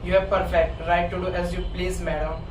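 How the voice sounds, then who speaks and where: A young woman student playing a dry, stubborn, sarcastic husband in a stage comedy reading, with deadpan delivery. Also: on the low side, brisk, close to an everyday voice. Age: young adult. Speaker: student actor. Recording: distant mic, echoey room, loud noise.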